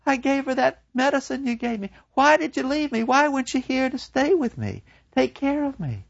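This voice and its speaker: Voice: sits high, very animated, normal speed, creaky, no room sound, voice pushed up, heavily acted. A storyteller voicing an unhinged young woman in a jail cell, pleading and stammering, in a dull, dry lo-fi recording. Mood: distraught.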